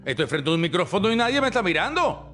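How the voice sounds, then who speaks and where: A man's voice: sits high, sing-song, fast talker, pushed and bright, soft background music. A man chatting as a guest, joking crudely and playfully. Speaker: podcast guest. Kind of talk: casual chat.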